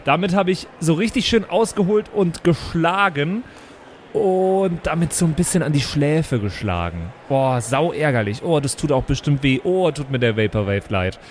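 A man's voice: fairly high pitch, expressive, moderate pace, slight background noise. A man chatting casually in an improvised role-playing game, colloquial and repeating words as he goes. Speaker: tabletop role-player.

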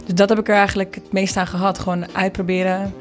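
A woman's voice: medium pitch, level tone, fast, faint music behind. A woman talking casually as an interview guest, telling anecdotes and acting out bits of dialogue. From Netherlands. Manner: informal, anecdotal, re-enacts dialogue. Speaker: singer and producer, podcast guest.

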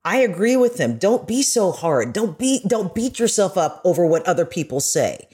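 A woman's voice: on the low side, sing-song, moderate pace, mellow, breathy edge. A middle-aged woman, a journalist guest, speaking earnestly and emphatically in a conversational interview.

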